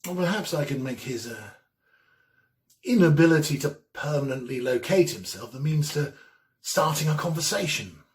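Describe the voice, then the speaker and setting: An actor in a dramatic reading, playing a lonely, timid, middle-aged academic who muses in a hesitant, fussy, precise way. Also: male voice, on the high side, animated, moderate pace, breathy edge. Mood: puzzled, fretful. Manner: hesitant, musing, theatrical, precise wording. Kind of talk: performed dramatic reading of a classic ghost story, first-person monologue.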